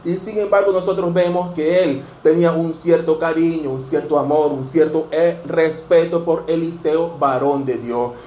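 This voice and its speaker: Venezuelan pastor in his 50s, slightly high-pitched, preaching earnestly in a reverberant church with faint background hiss. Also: steady tone, moderate pace, few pauses, dark tone. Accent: Venezuelan Spanish. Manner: earnest, fills every phrase with "dear brothers". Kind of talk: sermon. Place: church sanctuary. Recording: echoey church room, faint noise.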